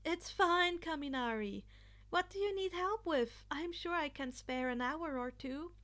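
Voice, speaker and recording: An earnest teenage boy student as a woman reader acts him out, with a high and bright voice. He rambles analytically and stumbles over his words as he reasons things through in a fan fiction audiobook. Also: animated, measured pace. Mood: friendly, helpful. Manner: hesitant, stammering, thinking aloud.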